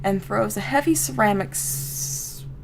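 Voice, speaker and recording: A woman's voice: mid-range voice, unhurried, creaky, noisy background. A woman voicing a folksy, streetwise street-gang member telling an old story, with a Southern US accent. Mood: streetwise, matter-of-fact. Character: rough street-gang storyteller, plain-spoken old comrade of a boss. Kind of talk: dramatic first-person story reading.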